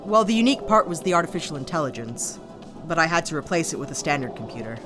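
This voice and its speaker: A woman's voice: deep voice, very animated, fast talker, music in the background. A woman playing a hesitant, guarded ex-cop pilot. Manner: hesitant, self-deprecating, awkward laughs. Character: guarded female ex-officer pilot, modest hero. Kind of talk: audio drama acting.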